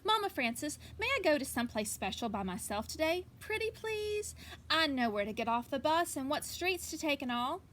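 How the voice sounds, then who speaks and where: A woman voicing an excited, pleading, confident little girl in a storybook read-aloud. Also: high-pitched, normal speed, a little hiss or hum. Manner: eager, bursting, wheedling.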